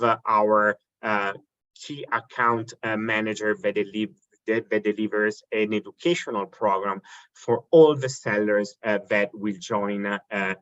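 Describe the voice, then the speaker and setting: An Italian man with a lowish voice walks through slides in a webinar. His delivery is halting, with frequent fillers and restarts. The audio is dull and lo-fi. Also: fairly bright tone. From Italy. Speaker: business development director. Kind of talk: webinar presentation.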